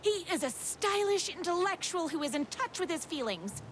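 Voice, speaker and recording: Casting: overdramatic devoted fangirl heroine for a video game; high voice, animated, earnest, quick to indignation. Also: a little hiss or hum. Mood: indignant. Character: overdramatic devoted female fan turned heroine, feisty and lovestruck. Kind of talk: hammy game acting with first-person story narration.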